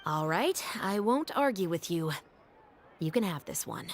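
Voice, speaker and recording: A woman's voice: fairly low pitch, big pitch swings, unhurried, slight background noise. A woman voicing a dutiful officer of the law in a game, speaking in a formal, composed way. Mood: relaxed. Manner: formal, composed, lightly weary.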